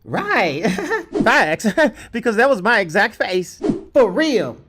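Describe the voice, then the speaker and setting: A man's voice: high voice, sing-song, slow, noisy background. A man informally reading out online comments and adding his own asides.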